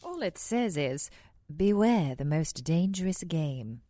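A poised lady spy played by a woman in a radio play, arch and curious. Her voice sits low and moves with very animated intonation, always coolly clever. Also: takes it slowly, no room sound, full character voice. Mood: arch, playful. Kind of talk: scripted radio theatre acting.

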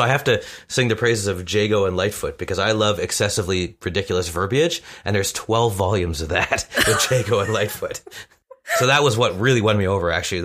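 A man with a distinctly low voice talks as a podcast guest. He is enthusiastic and wordy, in a loose, self-deprecating conversational style. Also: sharp tone. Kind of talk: casual panel chat.

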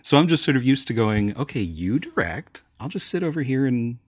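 A man's voice: medium pitch, sing-song, brisk, breathy edge, no room sound, clean studio sound. A man chatting casually as a playwright guest on a panel, self-deprecating and hesitant to interject. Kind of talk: casual chat.

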